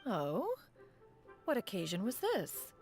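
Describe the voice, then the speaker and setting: Video game immortal: proud, stately, archaic diction, lively melodic range, soft music behind. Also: female voice, mid-range voice, slow pace, full character voice. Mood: lofty, eager. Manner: formal, haughty, archaic diction, calls herself one. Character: centuries-old dignified female immortal, proud and aloof. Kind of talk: video game character acting.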